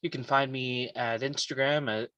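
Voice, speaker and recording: A man introducing himself casually, halting and hesitant as he goes. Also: medium pitch, steady tone, pushed and bright, no echo, dull audio. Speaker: aspiring voice actor introducing himself.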